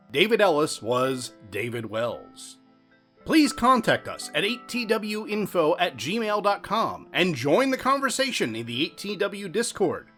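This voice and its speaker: Male credits announcer, scripted read, lively swings in pitch, guitar behind. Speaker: announcer reading closing credits. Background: guitar music.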